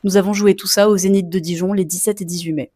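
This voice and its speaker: A woman's voice: mid-range voice, fairly even intonation, quick pace, warm tone, breathy edge, no echo, clean studio sound. A young woman, a mezzo-soprano student, telling a personal story to camera in a chatty way with lots of asides and fillers. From Sicilian heritage.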